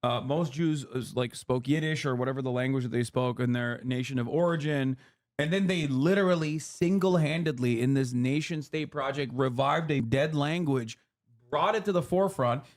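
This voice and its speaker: A man in his thirties explains politics on a casual livestream. He talks in quick, lecturing bursts full of fillers and restarts. His voice sits at an ordinary middle pitch.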